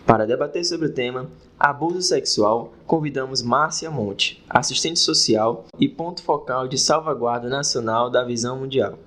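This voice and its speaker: A man's voice: medium pitch, moderate pace, fairly bright tone. A man presenting a podcast from a script, formal and orderly as he asks questions. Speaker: podcast host, interviewer.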